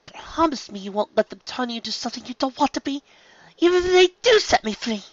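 A man's voice: very high voice, expressive, fast talker, fairly bright tone, dull audio, pitched above the performer's own voice. A man voicing a prissy, overprotective female unicorn in a storybook reading, melodramatic and easily distressed. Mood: tearful pleading. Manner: melodramatic, stammering when upset.

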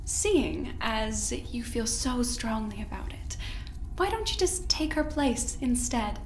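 A woman's voice: on the high side, very animated, slow, exaggerated voice. A woman playing a haughty scientist in charge of experiments in an audio drama, mock-polite, condescending and theatrical. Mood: coolly menacing. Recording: roomy echo, faint background noise.

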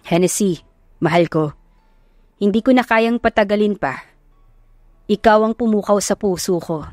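A woman's voice: mid-range voice, moderate pace, a little mellow, no room sound, faint music behind, natural voice. A woman with a Filipino accent voicing a sincere, devoted young man in love, speaking earnest sentimental lines. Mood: tender, sincere.

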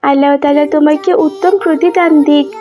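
A woman's voice: high-pitched, fairly even intonation, moderate pace, close to an everyday voice. A woman voicing a devoted, pious younger sister in a cartoon, speaking earnestly. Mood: grateful, gentle. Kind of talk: cartoon voice acting.

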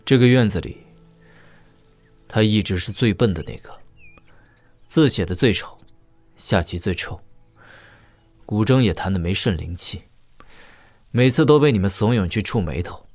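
An actor playing a young princely heir in an audio drama, wry and coolly questioning, speaking in a measured, conversational way. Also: male voice, fairly low pitch, lively intonation, darkish tone, dry recording, soft background music, close to an everyday voice. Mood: fond, rueful.

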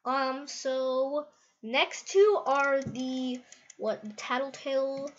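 Casting: female gaming host, high-pitched, casual and giggly, slightly muffled lo-fi recording. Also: very slow. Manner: giggly, rambling, easily amazed. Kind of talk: casual gameplay commentary.

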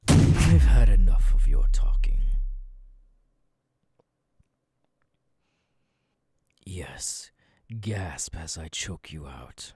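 Man acting as haughty demon king, very low husky voice, soft-spoken, menacing, condescending, ASMR roleplay. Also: dry recording, exaggerated voice. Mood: sadistic, menacing. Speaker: voice actor.

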